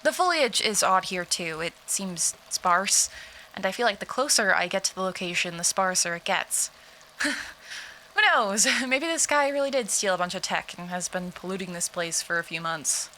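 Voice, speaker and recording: An actress plays a woman investigator dictating an audio drama field log. Her bright voice is conversational and hesitant, wry yet uneasy, over a faint bed of ambience. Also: mid-range voice, animated, moderate pace, low-quality recording. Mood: uneasy, musing. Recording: clear ambient noise behind.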